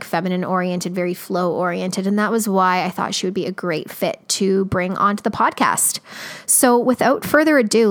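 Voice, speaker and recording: A woman hosting a podcast, her voice a little scratchy today, talking in an upbeat, chatty way with many fillers. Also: mid-range voice. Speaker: podcast host. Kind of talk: casual podcast intro and interview.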